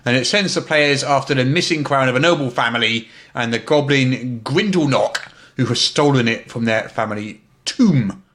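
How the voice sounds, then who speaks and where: A man's voice: medium pitch, moderate pace, fairly bright tone. A man with a mid-range voice co-hosts a news podcast. His delivery is casual and conversational as he goes through items with his partner. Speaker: podcast co-host. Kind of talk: casual chat reading gaming news.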